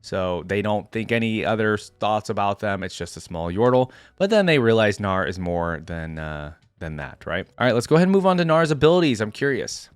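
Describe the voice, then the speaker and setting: A man's voice: fairly low pitch, big pitch swings, a little fast, hardly any pauses, clear, resonant tone, dry recording. Casual man reacting, close dry sound. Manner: curious, musing aloud as he goes. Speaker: host of a reaction video channel. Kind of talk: casual game lore reaction, reading aloud and commenting.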